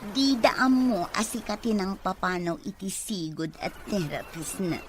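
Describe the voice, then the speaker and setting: A woman's voice: mid-range voice, unhurried, close to an everyday voice. An actress with an Ilocano accent playing a sly female accomplice in a radio drama. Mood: prying. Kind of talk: Filipino radio drama acting. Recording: faint background noise.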